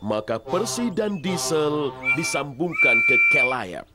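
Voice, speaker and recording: A man narrating a scripted children's story in an expressive storyteller's manner. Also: on the high side, takes it slowly. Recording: loud music behind.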